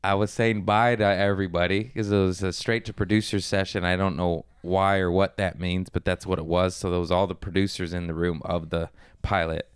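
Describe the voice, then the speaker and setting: Low, smooth-voiced man chatting casually. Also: flat delivery, a little fast, few pauses, no room sound, studio quality. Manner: rambling, profane, laughs at own stories. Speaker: podcast host and stand-up comic. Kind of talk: casual podcast chat.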